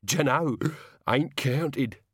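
A man's voice: fairly high pitch, sing-song, moderate pace, dry recording, studio quality, pitched above the performer's own voice. A man voicing a cheeky old manservant, blunt and casual, with colloquial speech and dropped consonants. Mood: cheerful, unrepentant.

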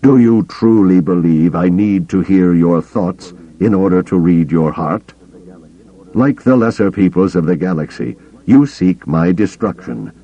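Old male Jedi villain, acted by a male narrator: low, mellow, deliberate, pompous and condescending menace for a space-opera audiobook. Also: muffled recording.

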